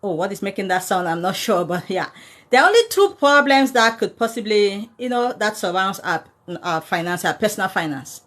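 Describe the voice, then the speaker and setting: A woman's voice: medium pitch, big pitch swings, normal speed, fairly bright tone. A woman from Cameroon in her thirties, chatting casually to viewers about money and thanking them by name as she goes. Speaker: personal finance content creator. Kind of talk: casual livestream talk to viewers.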